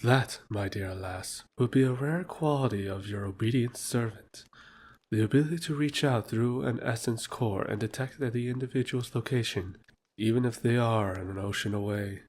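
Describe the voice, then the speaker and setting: A man's voice: mid-range voice, normal speed, darkish tone, lightly acted. Man doing a smug courtly lord, fantasy audio drama, flowery formal speech, faint background noise. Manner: formal, flowery and self-satisfied. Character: smug male nobleman, courtly and boastful. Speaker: Lord Hindris.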